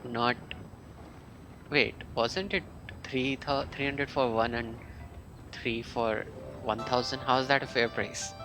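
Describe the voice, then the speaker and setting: Guy live streaming a game, casual, thinking out loud, normal pitch, really loud game music behind him. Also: takes it slowly. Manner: questioning, thinking aloud.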